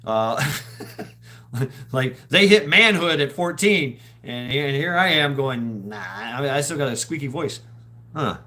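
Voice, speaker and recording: A man's voice: fairly high pitch, lively intonation, measured pace, bright, forceful tone. Rambling middle-aged American man, casual and sweary. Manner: sweary, laughing, meandering storytelling. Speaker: livestream host. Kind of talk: casual rambling livestream chat.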